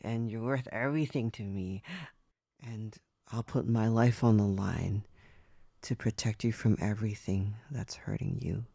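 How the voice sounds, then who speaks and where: A man voicing a devoted pet who has turned into a young human, talking in an ASMR roleplay with soft, breathy whispers. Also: on the low side, dry recording.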